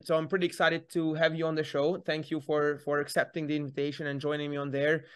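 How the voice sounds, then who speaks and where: A man's voice: fairly high pitch, monotone, quick pace, fairly bright tone, clear, resonant tone. A man from Hungary hosting a podcast in a casual, friendly way, rambling a little and restarting his sentences. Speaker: podcast host. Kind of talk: casual interview chat.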